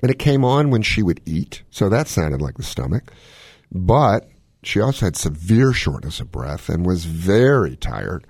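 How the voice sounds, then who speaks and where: A man with a low, husky voice talks health on a radio show in a folksy, joking way. His pitch moves around a lot as he hands out casual advice. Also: sing-song, a little slow, a little mellow, no room sound, studio quality. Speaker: medical expert radio host.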